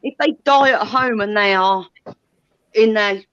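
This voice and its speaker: Through dull, lo-fi audio comes a woman in her thirties with a mid-pitched voice. She talks casually and candidly. Her manner is blunt and emphatic, with plenty of swearing. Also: a little slow, a bit bright, a little hiss or hum. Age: 30s.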